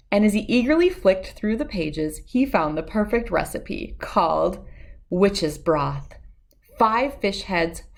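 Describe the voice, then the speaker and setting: A woman reading a children's story aloud as narrator, with expressive, dramatic and deliberate phrasing. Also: mid-range voice.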